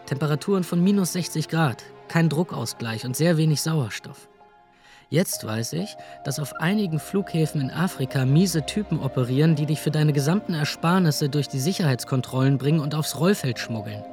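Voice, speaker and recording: An actor playing a drifting young would-be crime writer, giving a dry, self-deprecating monologue in a radio drama. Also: male voice, on the high side, expressive, moderate pace, a little mellow, soft background music. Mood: sober, reflective. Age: young adult.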